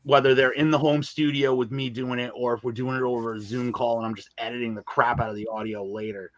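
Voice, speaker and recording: A man's voice: medium pitch, little pitch movement, quick pace, no echo. A man talking casually and candidly as a podcast interview guest, with emphatic, colloquial phrasing. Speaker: guest, audio drama writer and filmmaker.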